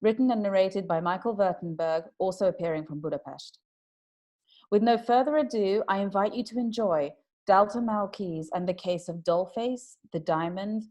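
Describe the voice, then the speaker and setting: A woman introduces a radio theatre programme from a script. Her voice is on the low side, with a dark, mellow tone. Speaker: presenter.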